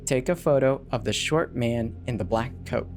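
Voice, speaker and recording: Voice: mid-range voice, normal speed, darkish tone, close to an everyday voice. A narrator voicing an anonymous stalker's sinister, commanding demands in a horror story reading. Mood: menacing. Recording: background music behind the voice.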